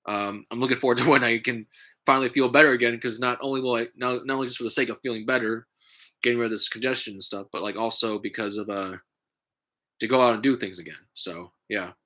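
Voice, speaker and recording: A man with a stuffy, congested nose, talking in a halting, casual way with many fillers and restarts, vlogging from home. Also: medium pitch, steady tone, sharp tone. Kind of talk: casual rambling vlog.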